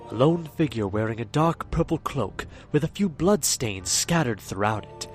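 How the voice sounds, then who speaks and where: A man's voice: mid-range voice, lively intonation, normal speed, faint music behind, lightly acted. A man playing an ominous storyteller, reading scripted horror-tale narration to his audience.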